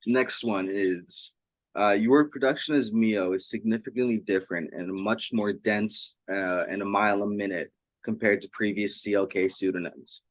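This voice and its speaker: A man with a fairly low voice acts as interviewer in a casual conversation, reading out questions from fans. The sound is dry, with very little room on it. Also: steady tone, normal speed, no room sound, rough recording.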